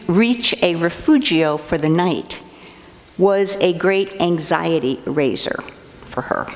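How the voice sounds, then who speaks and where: An older woman, an assistant pastor, preaching a measured sermon from a church lectern. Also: lowish voice. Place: church sanctuary, indoors. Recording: long reverb of a church sanctuary.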